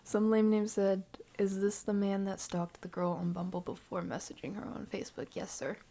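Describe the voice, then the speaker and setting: A woman's voice: mid-range voice, level tone, moderate pace, mellow. A woman livestream host giving casual live reaction commentary, thinking aloud in unfinished, circling sentences.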